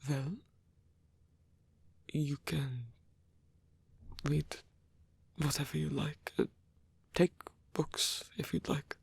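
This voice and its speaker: A man voicing a lonely old male vampire in an ASMR roleplay, gentle, rambling and bookish, with a soft, breathy, creaky voice and a halting, musing delivery. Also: on the high side, level tone, dry recording, full character voice. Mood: shy, inviting. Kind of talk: ASMR roleplay audio addressed to the listener.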